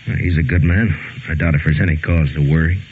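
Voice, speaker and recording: Deep American male voice, stern weary frontier lawman, dry and steady, 1950s radio western, tinny old recording. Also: fast, slight background noise. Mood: calm, laconic.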